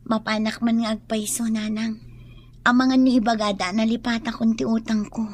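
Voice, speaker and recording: A woman's voice: on the high side, flat delivery, moderate pace, darkish tone, lightly acted. A young woman playing a dutiful, worried daughter with a Filipino Ilocano accent in a radio comedy drama, earnest and coaxing. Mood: sheepish. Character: dutiful, worried grown daughter, short of money.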